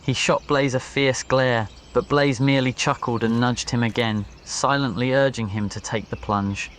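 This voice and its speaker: A man's voice: mid-range voice, steady tone, moderate pace, a bit bright, a little hiss or hum. A man reading a scripted ASMR story as its narrator. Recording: nature ambience behind voice. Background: crickets, birds and insects.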